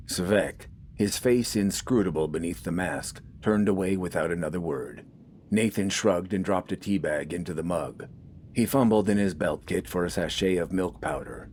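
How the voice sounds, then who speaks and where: A man's voice: sits low, a little mellow, a little hiss or hum. A man reading scripted fiction as a story narrator.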